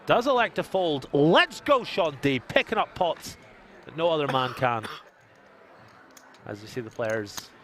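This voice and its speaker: A man commentating a live poker stream from a casino booth, reading out chat and cracking quick one-liners in a soothing radio voice. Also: on the high side, lively intonation, a bit bright, slight background noise.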